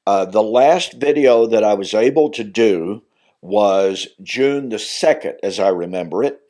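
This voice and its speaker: A man's voice: fairly low pitch, a little slow, warm tone. Older man with a strained voice. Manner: earnest, building to emphatic insistence on key points. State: weakened voice recovering from voice loss. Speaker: minister and video teacher. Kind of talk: Bible teaching monologue.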